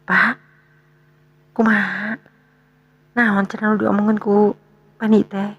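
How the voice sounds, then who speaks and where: An actress playing an anxious, complaining middle-aged wife in a radio drama, speaking in a fretful, questioning way on a dull recording. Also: female voice, medium pitch, flat delivery, takes it slowly. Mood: curious. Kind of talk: radio storytelling drama dialogue.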